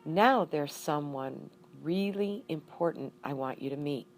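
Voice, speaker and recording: A woman's voice: low voice, measured pace, a little hiss or hum. A woman voicing a friendly, enthusiastic man in a children's story, eager in manner.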